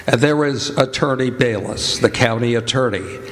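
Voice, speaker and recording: An actor playing a solemn, urgent narrator, delivering scripted dramatic narration for a radio play. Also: male voice, medium pitch, normal speed, a bit bright, natural voice. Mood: solemn, building. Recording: live stage, long hall reverb.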